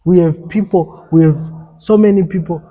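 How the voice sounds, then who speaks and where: A man's voice: high voice, steady tone, moderate pace, slightly rough, lo-fi sound. A young African man talking earnestly in a casual podcast conversation, often repeating and restarting his words.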